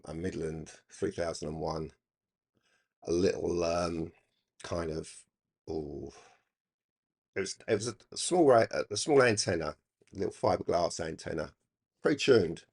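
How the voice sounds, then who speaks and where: An older man reminiscing casually in a halting, rambling, reflective way. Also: deep voice, creaky, a little mellow, slightly rough. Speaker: hobby radio channel presenter.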